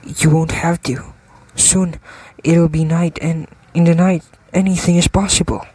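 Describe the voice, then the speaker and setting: A man's voice: fairly high pitch, little pitch movement, measured pace, a little mellow, husky, no echo. A man playing a secretive, ambitious new king reassuring his queen in an amateur radio drama.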